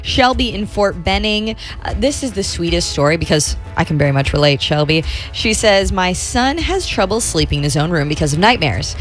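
A woman's voice: fairly low pitch, lively intonation, normal speed, faint music behind. A woman co-hosting a radio fundraiser, reading out listener stories and thanking givers. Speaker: radio host.

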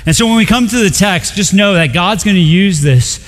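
A man from Colombia preaching to a congregation, joking and animated as he leads into the text. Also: high-pitched, fairly quick. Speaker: pastor. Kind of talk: sermon. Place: church sanctuary. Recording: long hall reverb.

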